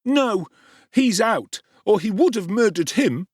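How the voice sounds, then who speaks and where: A man's voice: lively intonation, slow pace, breathy edge, no echo, full character voice. A man voicing a sly teenage workhouse boy who wails, whines and pleads. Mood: tattling. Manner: wailing, shrill and pleading. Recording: dry close studio sound.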